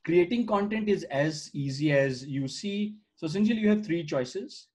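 A man in his thirties speaks with a slightly raised pitch, talking through a screen-shared demo on a video call. He keeps it conversational, full of "uh" and "right?" tags. His is Gujarati, and it shows. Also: expressive, normal speed, a little mellow, breathy edge. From India. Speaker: startup founder giving a product demo. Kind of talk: screen-share product walkthrough. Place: indoor room on a video call. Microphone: video call webcam mic.

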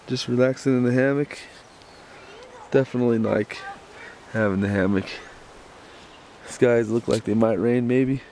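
An American man, a scout leader, casually narrating his backpacking trip on a handheld camera outdoors in the forest. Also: mid-range voice. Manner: relaxed, matter-of-fact commentary with short chuckles. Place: outdoors in a mountain forest by a rushing stream. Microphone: handheld camera mic. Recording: camcorder outdoors with loud stream noise. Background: rushing stream water.

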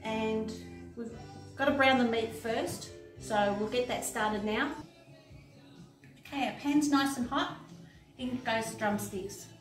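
A woman's voice: on the high side, slow, long pauses, faint music behind. A middle-aged woman, giving a casual, step-by-step cooking demonstration while busy at the stove in a home kitchen. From Australia. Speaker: home cook presenting a recipe. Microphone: camera mic a few steps away. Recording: roomy, distant, noisy kitchen camera sound. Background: pots and dishes clattering, sizzling.

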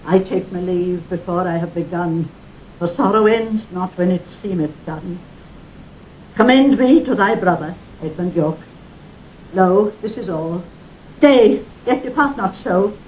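Actress doing a grieving noblewoman, shakespeare radio play, really slow and mournful, bit of background noise. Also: medium pitch, darkish tone, natural voice. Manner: declamatory, halting, sorrowful verse delivery. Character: grieving noble widow, desolate and lingering. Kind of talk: classical Shakespearean audio drama acting. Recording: noisy 1960 audio drama recording.

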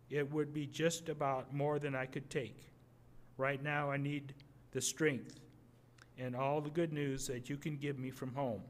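Older man reading a letter aloud haltingly. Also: fairly high pitch. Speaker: readers theater reader. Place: museum gallery, indoors. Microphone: podium microphone.

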